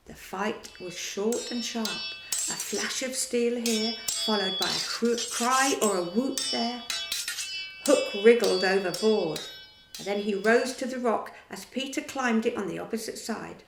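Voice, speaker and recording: Slow, gravelly woman reading a storybook. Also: fairly high pitch, roomy sound, soft background music, poor audio. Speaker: children's storyteller. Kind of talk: storybook read-aloud.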